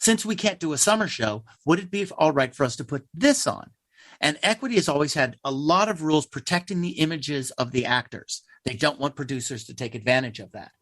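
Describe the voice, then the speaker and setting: A man's voice: a little high, lively intonation, brisk, fairly bright tone, no echo. A man speaking conversationally as a theater guest in an interview, telling things like a storyteller.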